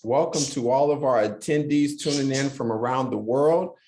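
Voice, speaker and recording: A man's voice: medium pitch, normal speed, darkish tone, slight background noise. A man serving as a web show's producer, giving a formal scripted introduction. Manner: formal, announcer-like.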